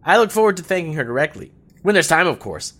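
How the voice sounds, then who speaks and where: Jovial old revolutionary, animated voice. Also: male voice, a little high, fast, exaggerated voice. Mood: warmly appreciative. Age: older. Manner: chatty, theatrical, folksy. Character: jovial old male revolutionary, garrulous and scheming. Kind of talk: audio drama acting.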